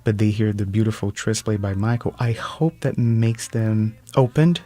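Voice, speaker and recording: A man's voice: fairly low pitch, normal speed, warm tone. A man from Brazil with a Brazilian Portuguese accent, a band musician speaking in a thoughtful, careful way.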